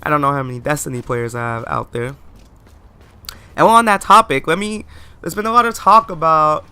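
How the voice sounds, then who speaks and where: A man's voice: fairly high pitch, brisk, sharp tone, clean tone, dry recording. A man giving post-recorded commentary over gameplay, chatty and rambling, often asking viewers questions. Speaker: let's play host.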